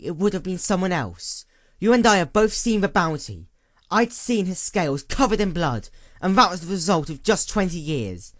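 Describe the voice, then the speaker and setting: A man's voice: a little high, no room sound, clean studio sound, pitched above the performer's own voice. A man voicing an embittered, hollowed-out female pony in a dramatic story, brooding and intense.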